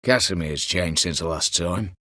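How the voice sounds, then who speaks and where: A man's voice: deep voice, moderate pace, sharp tone, no echo, clean studio sound. An actor voicing a scheming, vengeful disinherited nobleman turned heist leader in a video game, with a colloquial, wry, conspiratorial way of talking. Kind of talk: video game character dialogue.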